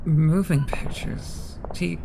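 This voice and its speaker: A woman's voice: deep voice, steady tone, slow, gravelly, mellow, a little hiss or hum, full character voice, low-quality recording. A young woman playing a frightened, confused newborn vampire in an audio drama, stammering and hesitant. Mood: shaken, confused.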